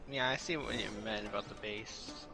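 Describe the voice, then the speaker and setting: A man's voice: on the low side, normal speed, pushed and bright, poor audio. Casual guy on headset over game music. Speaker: streamer's co-op partner. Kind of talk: casual chat.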